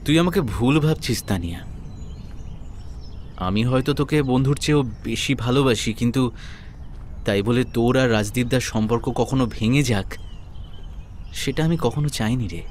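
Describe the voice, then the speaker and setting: A man with a Kolkata Bengali accent playing an earnest, lovelorn young man in an audio story, speaking wistfully with dramatic pauses. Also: mid-range voice, a little hiss or hum, natural voice. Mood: earnest, pleading. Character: lovelorn, self-sacrificing young man devoted to his friend. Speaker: narrator and lead actor in an audio story. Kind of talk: dramatic first-person audio story narration and dialogue. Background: background music.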